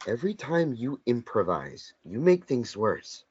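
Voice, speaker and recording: A man acts out a sardonic, blunt companion in a stage drama. He needles and confronts with dry wit, the pitch moving widely. The recording is a little dull. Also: sing-song, unhurried. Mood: accusing. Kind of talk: script table read. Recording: dull lo-fi sound.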